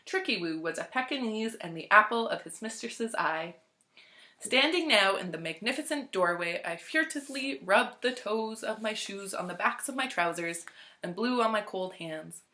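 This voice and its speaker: Bright-voiced woman reading a story aloud. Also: medium pitch, expressive, normal speed. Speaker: library storytime reader. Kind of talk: scripted narration, expressive story read-aloud.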